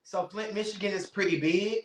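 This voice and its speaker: A man in his thirties hosting a casual interview podcast, teasing and playful with quick exclamations. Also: sits high, steady tone, echoey room. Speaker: podcast host.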